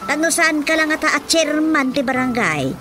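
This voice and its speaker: Fretful Ilocano mother, high-pitched. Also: sing-song, normal speed. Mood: grumbling. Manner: exasperated, grumbling. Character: fretful, complaining mother of a grown daughter. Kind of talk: radio drama comedy acting. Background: music.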